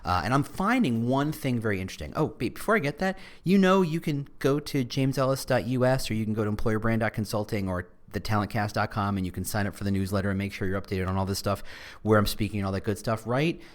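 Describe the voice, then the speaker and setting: A man's voice: lowish voice, fast. A middle-aged man hosting a casual solo podcast, rambling with frequent restarts and asides. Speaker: podcast host.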